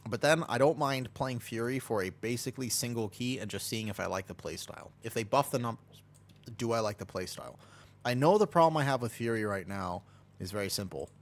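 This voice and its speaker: A man's voice: medium pitch, a little fast. An American man streaming a game, chatting casually and rambling as he answers chat and laughs easily. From United States.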